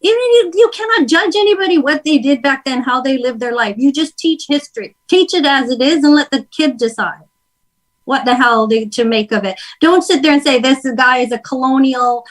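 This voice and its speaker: A woman's voice: sits high, brisk, low-quality recording. A woman with a Canadian accent chatting casually and giving her opinions in a blunt, emphatic way. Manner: blunt, emphatic, mildly profane. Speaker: guest on a livestream talk show. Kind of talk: opinionated casual political chat.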